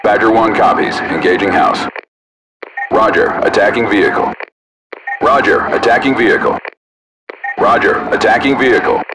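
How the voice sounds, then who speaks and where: A man voicing a brisk, businesslike armored vehicle crewman in a video game, speaking clipped, authoritative procedural chatter through a radio filter. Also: fairly low pitch. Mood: businesslike, focused. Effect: military radio filter. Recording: distant radio sound under loud vehicle noise.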